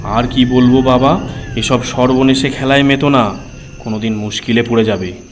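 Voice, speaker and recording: A man's voice: mid-range voice, steady tone, normal speed, natural voice. An actor playing a cautious, pious uncle who pleads and warns a young man against danger. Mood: worried. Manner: pleading, warning. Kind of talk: animated horror story dialogue. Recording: music behind.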